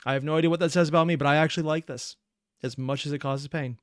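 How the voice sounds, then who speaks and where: Casual commentary host, medium-pitched, invested and halting, in a dry close recording. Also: fairly even intonation, dry recording. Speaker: reaction video host.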